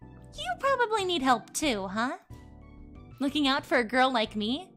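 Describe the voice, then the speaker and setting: A woman's voice: high-pitched, very animated, measured pace, a bit bright, faint music behind, exaggerated voice. A woman voicing a troubled young female stalker from a visual novel, speaking in a bitter, self-deprecating way. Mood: wry.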